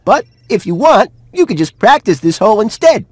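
A man's voice: sits high, sing-song, normal speed, no room sound. A man voicing a jolly, boastful golfing mouse in a video game, with a hearty, showy manner. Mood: eager. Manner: showy, self-satisfied, hearty. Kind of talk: video game cutscene acting.

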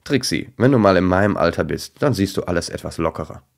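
A man's voice: low voice, lively intonation, fast talker, gravelly. A man voicing an easygoing older male German shepherd dog in a children's story. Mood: laid-back.

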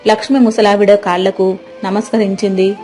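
Female storyteller, soft dark timbre, medium pitch, scripted village-tale narration. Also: level tone, normal speed.

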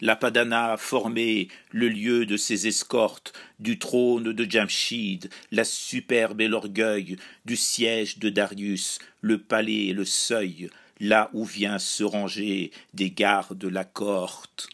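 A man solemnly reciting epic verse in a measured, declamatory way, phrase by phrase. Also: on the low side, level tone, fairly bright tone. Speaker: poetry reciter.

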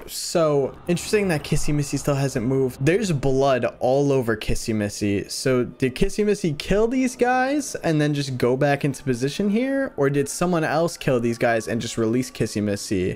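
A man's voice: mid-range voice, a little mellow. A man in his twenties giving casual, slangy reaction commentary into a close desk microphone as a video host. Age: 20s. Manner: informal, slangy. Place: small room at home, indoors. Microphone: desk microphone close to the mouth, headset on. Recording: clear background noise from played video.

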